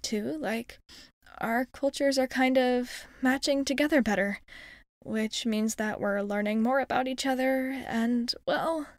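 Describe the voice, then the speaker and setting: A woman's voice: a little high, a little mellow, no echo, clean studio sound. A woman playing a friendly, shy young alien crewmate in an ASMR roleplay, speaking hesitantly and earnestly in casual girlish phrasing.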